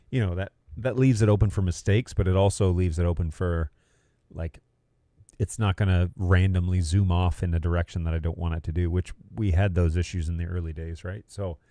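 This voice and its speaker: Very low male voice, mellow tone, dry close sound, casual livestream host, rambling, thinking aloud. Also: no room sound. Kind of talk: casual livestream chat about video production gear.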